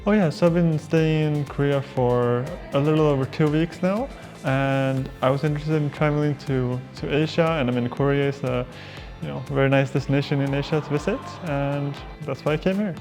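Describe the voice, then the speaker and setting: A woman's voice: low-pitched, normal speed, music in the background. A woman in her twenties from Sweden, giving easygoing, slightly hesitant interview answers. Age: 20s. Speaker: trip participant.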